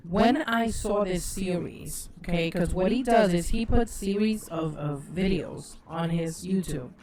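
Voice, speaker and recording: A woman co-hosts a casual livestream chat, rambling on and gushing with plenty of restarts. Her voice sits a bit low for a woman. A loud crowd of voices fills the background. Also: unhurried. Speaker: co-host.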